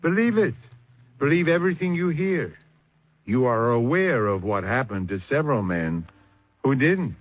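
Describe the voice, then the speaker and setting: An actor playing an ominous man speaking slowly and emphatically, in a 1970s radio drama. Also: mid-range voice, very animated. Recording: dull old radio recording with faint hiss.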